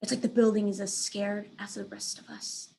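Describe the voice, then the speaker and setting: A woman's voice: medium pitch, little pitch movement, normal speed, creaky, warm tone. A woman reading aloud as a teacher, narrating a children's book expressively.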